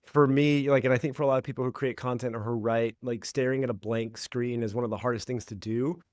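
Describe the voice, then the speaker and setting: A young adult man hosting a podcast, talking in a relaxed way and filling his speech with "like.". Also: mid-range voice, fast, no room sound, clean studio sound. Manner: relaxed, heavy use of "like", acts out quotes. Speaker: podcast host. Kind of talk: casual interview chat.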